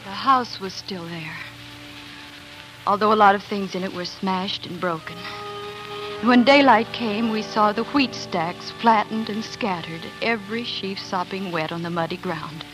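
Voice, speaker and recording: A woman's voice: mid-range voice, measured pace, close to an everyday voice, low-quality recording. Young farm wife, played by a woman, earnest dramatic storytelling, 1940s radio drama, strong music behind. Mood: reverent.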